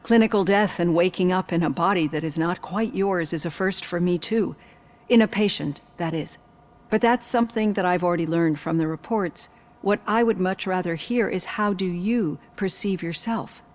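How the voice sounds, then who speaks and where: A composed female psychologist with a fairly low voice, acted by a woman. She speaks in articulate, measured sentences with a probing clinical manner, as a character in a video game. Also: a little mellow, close to an everyday voice.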